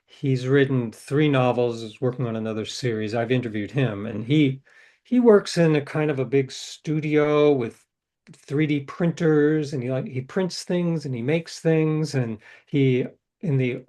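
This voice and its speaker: A man's voice: mid-range voice, a little mellow. Thoughtful man telling stories, middle-aged. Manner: thoughtful, restarts and trails off between ideas. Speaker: interview guest, novelist. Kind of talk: reflective conversational storytelling.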